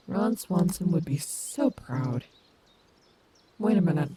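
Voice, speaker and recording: A woman's voice: fairly low pitch, animated, slow pace, background noise. A woman playing a cocky, protective female animatronic wolf in an audio roleplay, confident, sassy and doting on the listener. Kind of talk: fan audio roleplay acting, addressing the listener.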